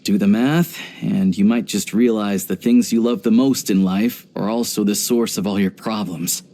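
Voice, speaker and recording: A man voicing a video game character, an earnest, pragmatic young hunter and rifleman who talks in a measured, reflective way. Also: on the low side, fairly even intonation, dark tone, lightly acted. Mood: thoughtful.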